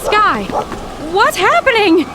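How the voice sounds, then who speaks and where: A woman playing a plucky, brisk small-town woman with folksy old-fashioned slang in an audio drama. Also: very high-pitched, big pitch swings, very noisy, exaggerated voice. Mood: alarmed.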